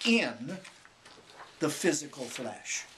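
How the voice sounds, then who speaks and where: A man reading a spiritual book as a scripted narration, in measured, deliberate phrases with frequent pauses. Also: a little high, very animated, fairly bright tone, slight background noise.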